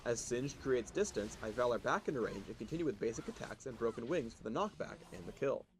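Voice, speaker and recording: A man giving a scripted, measured, instructional narration of video game play as a tutorial. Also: medium pitch, lively intonation, slight background noise.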